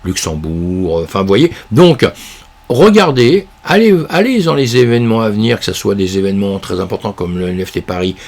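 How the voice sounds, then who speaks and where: A man with a cold, hoarse and congested, commenting casually on crypto news in a rambling, chatty way, with lots of fillers and restarts. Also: fairly low pitch, very animated, brisk, darkish tone. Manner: chatty, addresses viewers as friends.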